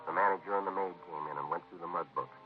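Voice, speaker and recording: An actor playing a terse American police detective in a 1950s radio drama, narrating in a deadpan, clipped manner. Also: male voice, low-pitched, rapid-fire, faint music behind, lightly acted. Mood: matter-of-fact. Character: terse, businesslike male police detective, narrating his case. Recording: tinny, noisy vintage radio recording.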